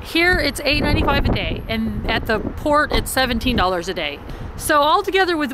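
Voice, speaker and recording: A middle-aged woman chatting casually to viewers as a travel vlogger, in an excitable, rambling way. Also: fairly high pitch. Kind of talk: casual vlog chat to viewers. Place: supermarket, parking lot, shuttle bus. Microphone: handheld camera at arm's length. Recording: noisy action camera on location.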